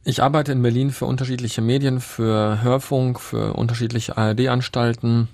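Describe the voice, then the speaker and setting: A young man from Upper Silesia, a journalist, answering interview questions in a radio studio in an articulate, measured way. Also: medium pitch, flat delivery, darkish tone, no echo. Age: young adult.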